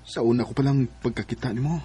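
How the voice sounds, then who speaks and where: An eager young Bisaya Filipino suitor speaks in a husky voice. He is devoted and romantic, with the pleading earnestness of radio drama. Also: medium pitch, fairly even intonation, quick pace, dark tone.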